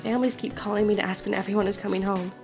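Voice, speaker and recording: A young woman voicing a game playthrough in an expressive way, reacting out loud as she goes. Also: mid-range voice, very fast. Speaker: fan voice actor. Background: faint game music.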